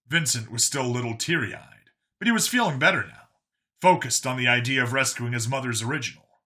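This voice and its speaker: A man's voice: medium pitch, fairly quick, bright, forceful tone. A man reading a scripted story aloud as an audiobook narrator.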